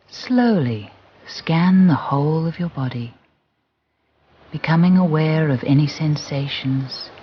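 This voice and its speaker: A soothing, gentle voice guiding a relaxation and visualisation exercise, deliberate and with long pauses. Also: medium pitch, slight background noise. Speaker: guided relaxation instructor. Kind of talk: guided visualisation narration. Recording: muffled recording with music behind. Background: background music.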